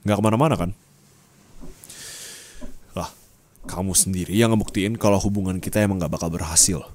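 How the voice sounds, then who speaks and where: A man playing a cold, bitter young ex-boyfriend in an ASMR roleplay, speaking flatly and dismissively. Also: lowish voice, natural voice.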